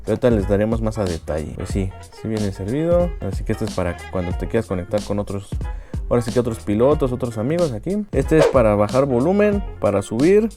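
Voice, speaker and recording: A man's voice: lowish voice, lively intonation, fast talker, over music. Chatty Mexican man unboxing gear. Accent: Mexican Spanish. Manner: chatty, slangy, leans on filler phrases. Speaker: moto vlogger. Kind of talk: casual product unboxing.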